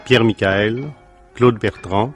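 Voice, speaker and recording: A man's voice: fairly low pitch, fairly even intonation, slow pace. A man announcing radio drama credits in a scripted style. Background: music.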